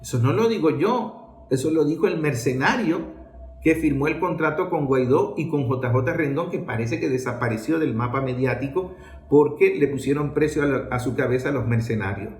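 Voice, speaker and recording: A man's voice: medium pitch, moderate pace, a little mellow. A man with a Venezuelan accent giving political commentary in an emphatic, rhetorical way, posing questions and answering them. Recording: roomy, echoing sound.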